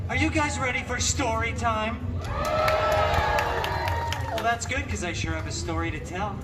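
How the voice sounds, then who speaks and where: A man's voice: high voice, recorded from a distance, very noisy. A man playing a mischievous, self-aware superhero who tells a parody children's story with theatrical, playful asides. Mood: showy, welcoming. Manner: playful, arch, exaggerated asides to the audience. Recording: echoey theme park PA, loud music behind.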